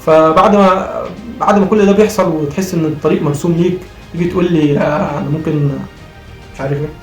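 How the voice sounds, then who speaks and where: A man's voice: a little high, fairly even intonation, very fast. An Egyptian man with a worn-out, strained voice gives impassioned, argumentative football commentary as a vlogger, repeating phrases for emphasis. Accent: Egyptian Arabic. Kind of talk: impassioned post-match fan commentary. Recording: roomy echo, faint music behind.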